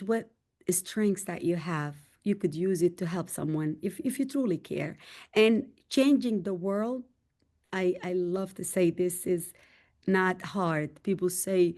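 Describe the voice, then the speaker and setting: A middle-aged woman with a Sudanese accent tells her own story as a guest on a podcast. Her voice sits low and mellow, soft at the edges. She presses hard on the words that matter to her, climbing higher when she stresses a point. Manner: emphatic and heartfelt, rising in pitch on key points. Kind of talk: candid conversational storytelling.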